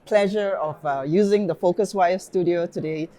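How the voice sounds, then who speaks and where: A woman interviewer with a notably low-pitched voice, talking in a conference studio. She asks her questions eagerly and chattily, with effusive warmth in her phrasing. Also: moderate pace. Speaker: interviewer and event founder. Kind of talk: interview hosting.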